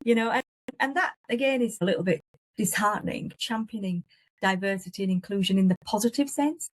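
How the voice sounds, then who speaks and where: A woman's voice: medium pitch, no room sound. Yorkshire woman, emphatic, talks in short punchy lists, dry close sound. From England. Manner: earnest, emphatic, lists ideas in short phrases. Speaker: guest, business leader. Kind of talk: interview answers.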